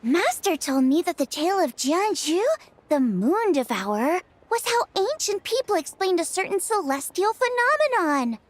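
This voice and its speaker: A woman voicing a small, childlike female fairy companion, chirpy, proud and excitable, in a video game. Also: very high voice, normal speed, a little hiss or hum. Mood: eager. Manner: refers to self in third person, indignant, chirpy. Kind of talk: video game cutscene acting.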